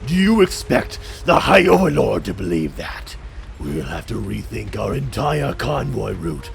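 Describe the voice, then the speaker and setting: A man acts out an angry orc guard for a fantasy audio drama. His voice is low and full of fry, swinging as he scolds and accuses. He is suspicious and gruff in every line. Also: very animated, measured pace, noisy background, heavily acted. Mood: angry, accusing. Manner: berating, accusatory.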